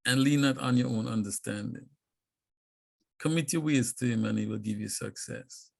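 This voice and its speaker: A man chatting as a guest on a video-call talk show, playful and quick to laugh as he tells stories. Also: mid-range voice, creaky, darkish tone. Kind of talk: casual chat. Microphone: video call mic.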